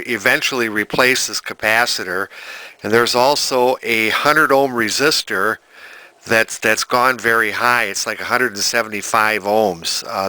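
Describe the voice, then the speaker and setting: Male hobbyist repairer, halting and explanatory, crisp-edged bright tone, close dry workshop recording. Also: fairly low pitch, no room sound, poor audio. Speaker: amateur vintage TV restorer. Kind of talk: informal hands-on repair demonstration. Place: indoor home workshop.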